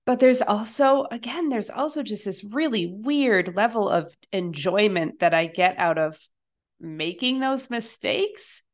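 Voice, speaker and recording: Slow-talking woman podcast host. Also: mid-range voice, lively intonation. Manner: warm backchanneling, laughs often, reflective. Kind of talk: casual podcast chat.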